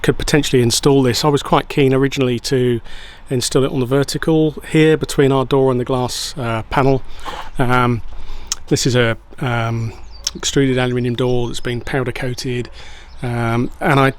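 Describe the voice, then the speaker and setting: A man giving a casual product review, explaining things informally and a little hesitantly. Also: medium pitch. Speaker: product reviewer and home renovator.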